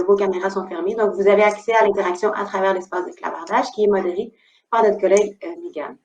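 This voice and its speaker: A woman's voice: mid-range voice, highs cut off. Woman moderating a video call panel, echoey. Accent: Québécois French. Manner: measured, with frequent hesitations. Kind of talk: hosting an online panel.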